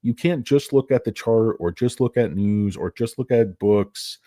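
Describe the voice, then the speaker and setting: A man's voice: medium pitch, a little slow, dark tone, dry recording, clean studio sound. A man hosting a casual stock commentary, rambling, crude and sarcastic as he talks through charts and filings. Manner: rambling, crude and sarcastic, with mocking put-on voices. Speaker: stock market video host.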